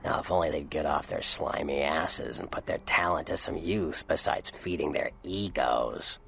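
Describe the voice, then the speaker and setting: An actor voicing a cynical, sly Nosferatu vampire informant in a video game, with a gravelly American voice and a dry, sardonic manner. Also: male voice, a bass voice, moderate pace, fairly bright tone, a little hiss or hum, heavily acted. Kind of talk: video game character dialogue.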